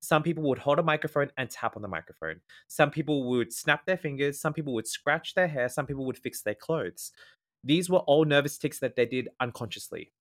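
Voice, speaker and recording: A man's voice: mid-range voice, animated, fairly quick, a bit bright. Earnest Australian man explaining tips. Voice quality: slightly nasal natural voice. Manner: earnest, explanatory, lays out tips in ordered points. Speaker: guest speaker, former event MC. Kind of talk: casual podcast interview.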